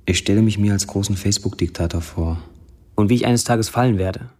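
An actor playing a sardonic Syrian blacksmith who writes Facebook posts, delivered as a literary monologue in a radio play. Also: male voice, on the low side, brisk, darkish tone. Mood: wry.

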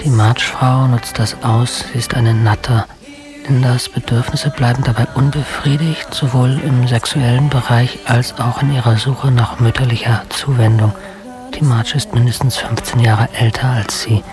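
A man's voice: medium pitch, steady tone, moderate pace, natural voice. Actor as a solemn, obsessive male diarist over music. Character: obsessive, self-righteous man dictating a sinister diary. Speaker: actor in a radio drama. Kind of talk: dramatic monologue, diary entry.

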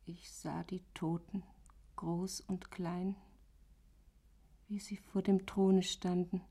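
Breathy, haunted, guilt-ridden wife. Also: female voice, lowish voice, level tone, takes it slowly, warm tone, heavily acted. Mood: haunted, brooding. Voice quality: breathy, often whispering. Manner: brooding, haunted, repeating phrases. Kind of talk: German radio drama acting.

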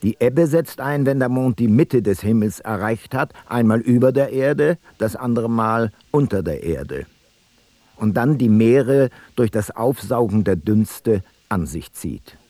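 An actor playing an ancient explorer who sets down observations of nature in a formal, methodical radio play narration. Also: male voice, mid-range voice, lively intonation, unhurried, mellow, dry recording. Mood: studious.

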